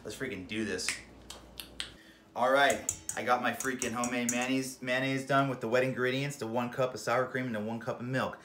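A man's voice: mid-range voice, steady tone, brisk, music in the background. Jokey bearded man in a roomy kitchen. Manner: jokey, swears, keeps saying "freaking". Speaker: home cooking show host. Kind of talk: goofy cooking demonstration. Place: small home kitchen, indoors. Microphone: camera mic from a few feet away.

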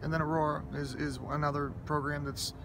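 A gravelly man answers interview questions outdoors, steady in tone and rather flat in melody. He sounds earnest and humble, starting over and padding his answers with fillers, while traffic hums behind him. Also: fairly high pitch, fairly quick. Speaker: high school football head coach. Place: outdoors by a school stadium. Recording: outdoor noise and passing traffic.

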